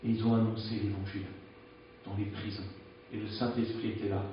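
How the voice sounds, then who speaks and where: A man's voice: on the low side, little pitch movement, brisk, a little hiss or hum. Man, preacher, plain-spoken sermon, hesitant, hall echo, distant dull mic. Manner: frank, conversational preaching with hesitations. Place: church.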